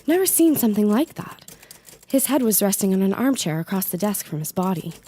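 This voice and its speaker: A woman's voice: mid-range voice, big pitch swings, a little fast, mellow, slightly rough. A woman playing an earnest forensics chief on the witness stand in a comedy audio drama, speaking with matter-of-fact, slightly melodramatic authority. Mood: earnest, emphatic.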